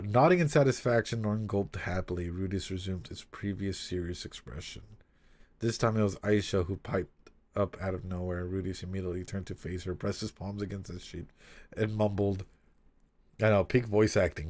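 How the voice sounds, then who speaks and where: A man streaming a casual read-aloud with commentary, talking in an animated, swearing way with frequent asides. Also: low-pitched, normal speed.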